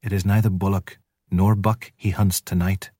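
A man reading a quiet, wise mother wolf. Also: low voice, little pitch movement, moderate pace, slightly rough, no room sound, clean studio sound. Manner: quiet, bitter irony.

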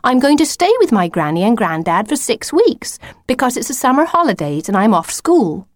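A woman's voice: on the high side, big pitch swings, moderate pace, no echo, clean studio sound, voice pushed up. A woman voicing a stubborn, unimpressed schoolgirl in a children's story, with a firm, matter-of-fact manner.

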